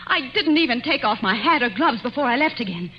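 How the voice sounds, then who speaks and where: An anxious, pleading young secretary. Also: female voice, high voice, animated, a little fast. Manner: earnest, pleading dramatic delivery. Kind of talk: 1940s radio acting. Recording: muffled 1940s radio broadcast with faint hiss.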